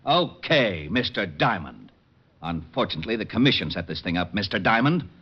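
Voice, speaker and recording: A man's voice: on the low side, very animated, normal speed, husky, exaggerated voice. An American man acting a blustery, easily flustered police lieutenant in an old radio drama. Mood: placating, testy. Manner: blustery, exasperated. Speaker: actor playing a police lieutenant. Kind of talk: 1940s-50s radio detective drama acting. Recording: muffled old radio recording.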